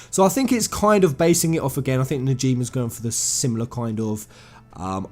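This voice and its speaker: A man's voice: medium pitch, a little mellow. A man giving an informal explainer commentary on video game lore, talking conversationally and emphatically to his viewers. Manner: conversational, addresses viewers as guys, emphatic phrasing.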